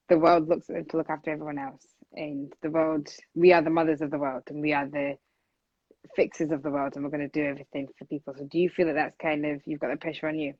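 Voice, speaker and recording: A loud, earnest woman in her twenties who talks in long, flowing runs on a podcast. The audio is dull and muffled, and the room sounds dry and close. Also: sits low, no echo. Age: 20s. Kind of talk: casual conversation.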